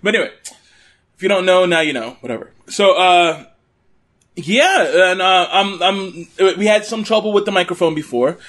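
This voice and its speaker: A man hosting a casual podcast from his apartment, loud and joking at his own expense into a desktop mic. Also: high voice, normal speed. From Brooklyn, New York. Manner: loud, jokey, self-mocking. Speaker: podcast host. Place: home apartment. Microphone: USB desktop condenser mic.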